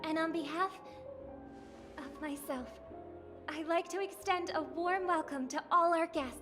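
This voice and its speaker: A graceful idol giving a formal address. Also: female voice, very high voice. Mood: ceremonious. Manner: measured, formal, ceremonial. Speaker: festival host. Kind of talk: video game voice acting. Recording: game audio with clear music behind.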